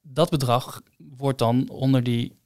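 A man's voice: mid-range voice, fairly even intonation, measured pace, a little mellow, no room sound. Man chatting casually, dry close sound. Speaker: podcast co-host. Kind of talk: casual explanatory chat.

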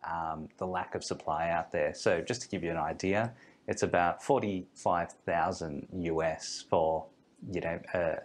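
A man's voice: low voice, fairly even intonation, a little slow. A man, a guest fund manager, explains things in an interview with many ums and restarts. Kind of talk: podcast interview.

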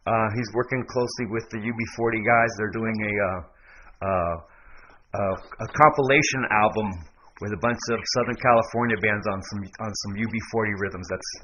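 A man hosting a radio interview, talking eagerly with many quick affirmations and restarts. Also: on the low side, steady tone, muffled recording, noisy background. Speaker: radio host, DJ. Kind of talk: casual interview chat.

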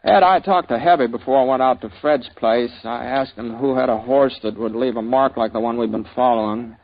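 A man's voice: medium pitch, brisk, dry recording, lightly acted. A man playing a laconic frontier gunman. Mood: quiet questioning. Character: laconic frontier gunman narrator, calm and dry. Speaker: lead narrator and hero. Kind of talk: 1950s radio drama acting. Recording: dull old radio broadcast with music.

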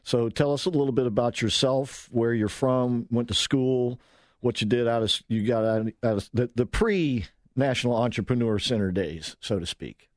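A man's voice: mid-range voice, moderate pace, no room sound. Man hosting, dry close sound. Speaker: interview host. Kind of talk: casual interview.

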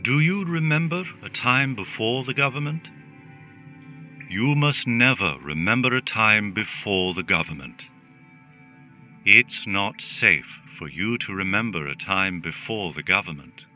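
An actor playing a caring but menacing government relaxation robot in a dystopian radio drama, putting slow, rhetorical questions. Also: male voice, lowish voice, lively intonation, bright, forceful tone, exaggerated voice. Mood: stern warning. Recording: music under the voice.

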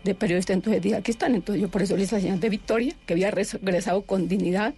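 A middle-aged woman gives her own account in an interview. She talks haltingly and restarts her sentences often. She sits a little too far from the microphone, so her voice comes through distant. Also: medium pitch, fairly even intonation, dark tone, slightly rough. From Colombia. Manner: halting, with many restarts and fillers. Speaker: interview guest. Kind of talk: personal testimony in an interview. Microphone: sitting too far from the microphone.

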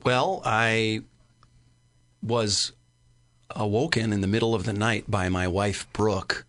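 A man in his thirties talks as an interview guest. His voice sits a little low, and he feels his way thoughtfully through each phrase. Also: takes it slowly, fairly bright tone. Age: 30s. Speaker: interview guest, amateur stage actor. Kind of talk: conversational interview.

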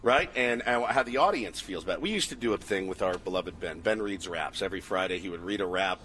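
A man hosting a morning sports radio show, bantering casually in an excitable, joking, exaggerating way. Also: deep voice, quick pace, slight background noise.

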